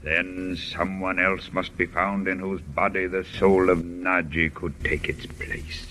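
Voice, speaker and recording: A man acting a mysterious, controlling occultist in a vintage radio drama, speaking with formal, measured courtesy. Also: sits low, little pitch movement, pushed and bright, exaggerated voice. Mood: solemn, cryptic. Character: mysterious male occultist, courteous, calmly controlling, secretive master. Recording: noisy old radio broadcast recording.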